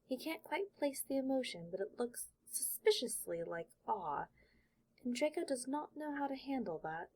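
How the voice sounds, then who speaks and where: A woman with a slightly husky voice narrates a work of fiction. She reads scripted prose in the present tense, as an audiobook storyteller does. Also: high-pitched, sing-song, moderate pace, darkish tone.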